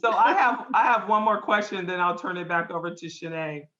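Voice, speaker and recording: A woman's voice: on the low side, brisk, sharp tone, roomy sound, dull audio. A woman in her fifties, co-hosting a podcast and asking a guest earnest questions. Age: 50s. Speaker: co-host.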